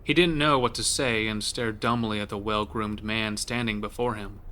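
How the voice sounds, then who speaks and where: Low, forceful male horror narrator. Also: fairly even intonation, normal speed. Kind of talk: scripted horror story narration.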